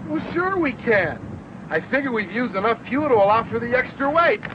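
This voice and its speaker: An actor playing a brash, overconfident young husband in an exclamatory 1960s training film style. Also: male voice, very high-pitched, animated, fairly quick. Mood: upbeat, confident. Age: young adult. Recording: muffled, noisy 1960s 16mm film sound.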